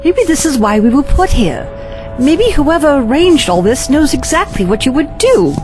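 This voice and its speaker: Worried sci-fi companion female actor, high animated voice, questioning and insistent, audio drama. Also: moderate pace, music in the background. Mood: pleading, worried. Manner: pressing, questioning.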